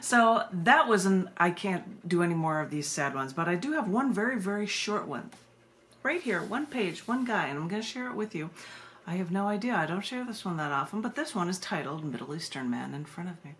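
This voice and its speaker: A woman's voice: fairly low pitch, expressive, a little fast, a bit bright. An American woman hosting a live online poetry reading, sharing poems and casual asides with her viewers. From United States. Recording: noisy live video stream.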